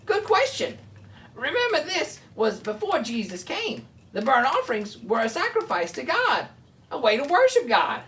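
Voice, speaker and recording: A woman hosting a children's Bible show, explaining things simply and chatting along in an animated way. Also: sits high, normal speed, distant mic, slight background noise.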